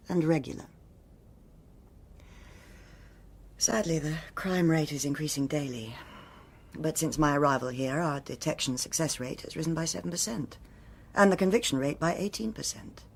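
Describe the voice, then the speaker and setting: An actress playing a cool, exacting police superintendent in a radio drama, with a formal, cutting, authoritative manner. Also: female voice, low voice. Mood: stern.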